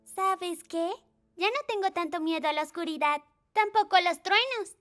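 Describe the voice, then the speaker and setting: A woman's voice: very high voice, moderate pace, fairly bright tone, soft background music. A woman voicing a brave, sweet, protective little big sister in a children's cartoon dub, earnest and reassuring.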